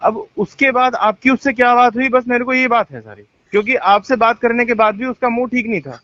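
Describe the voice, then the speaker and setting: A man's voice: extremely high pitch, fairly quick, pushed and bright. A man talking informally on a recorded phone call, explaining himself insistently. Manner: insistent, explaining, repeatedly asking to be heard. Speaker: resort boss speaking on a phone call. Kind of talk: tense informal phone conversation.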